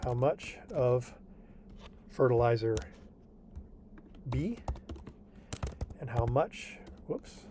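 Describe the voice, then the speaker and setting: A man's voice: medium pitch, lively intonation, drawn-out words, long pauses, background noise. A man tutoring a student over a video call, explaining homework patiently and reassuringly, often checking in with "okay?". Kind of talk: online tutoring session walking through homework.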